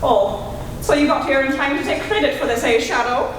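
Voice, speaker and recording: A woman's voice: high voice, lively intonation, moderate pace, a little hiss or hum. A woman playing a wry male police commissioner in a live radio drama, dry in manner. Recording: echoey hall from far off.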